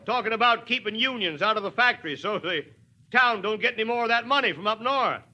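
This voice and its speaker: A stubborn small-town American mayor, a man with a high-pitched, very sharp and forceful voice. He speaks plainly and wryly, like a folksy orator in an old 1940s radio play. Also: expressive, fast, lo-fi sound, full character voice. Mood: wry, challenging. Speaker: radio drama actor.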